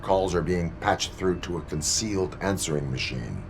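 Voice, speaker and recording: An actor playing a stoic cyborg police officer in a video game, with flat, formal, clipped delivery. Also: male voice, deep voice, normal speed, vocal fry, a little hiss or hum. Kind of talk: video game cutscene acting.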